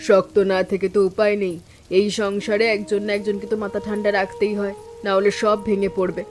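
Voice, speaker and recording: A woman playing a calm, steadfast elder sister-in-law in an animated village story, speaking in a composed, firm and reassuring way. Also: mid-range voice, brisk, lightly acted.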